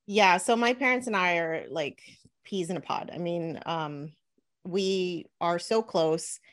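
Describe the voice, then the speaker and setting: A woman in her thirties from New Jersey, a podcast guest and money coach, talking in an energetic, chatty way in an interview. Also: lowish voice, takes it slowly. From New Jersey, USA. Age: 30s. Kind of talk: casual interview talk.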